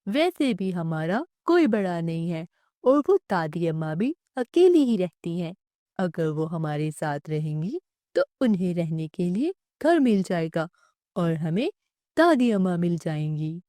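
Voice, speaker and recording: Woman as young boy, caring little brother, animated, unhurried, earnest, childlike, cartoon story. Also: on the low side, no room sound, clean studio sound, pitched below the performer's own voice. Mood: earnest, questioning.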